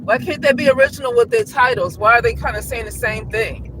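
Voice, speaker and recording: A man's voice: extremely high pitch, fairly quick. A man vlogging in a casual, rambling monologue, often restarting his sentences and asking rhetorical questions. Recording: noisy street traffic behind.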